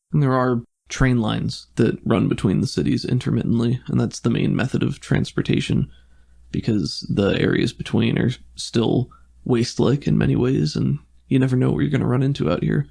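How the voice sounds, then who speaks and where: Male game master, creaky voice at middle pitch, improvised descriptive scene-setting for a tabletop RPG. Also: fairly even intonation, moderate pace, darkish tone.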